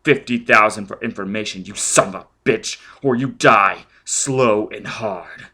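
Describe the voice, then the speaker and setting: A man's voice: on the low side, measured pace, fairly bright tone. A man voicing a ruthless, vengeful man who barks demands and threats. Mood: shouting fury. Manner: menacing, barking demands. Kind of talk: audiobook character voice.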